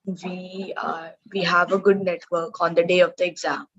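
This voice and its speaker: A woman's voice: on the low side, little pitch movement, moderate pace, lo-fi sound, slight background noise. A young woman, a student, politely and hesitantly asking a question on a call.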